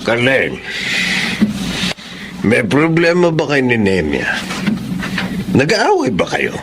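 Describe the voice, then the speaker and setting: A man's voice: medium pitch, huge pitch swings, slow pace, exaggerated voice. An actor playing a concerned, knowing middle-aged father in a Filipino radio drama, asking probing questions. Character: concerned older father of a grown son, knowing. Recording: noisy background ambience.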